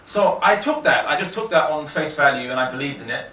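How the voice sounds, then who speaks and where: A man speaks in a bright, forward voice, picked up from a distance in a very echoey room with faint noise. He tells a personal story to listeners conversationally, often restarting and correcting himself as he goes. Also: a little high, lively intonation, brisk. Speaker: speaker giving a personal testimony. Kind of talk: informal autobiographical talk to an audience.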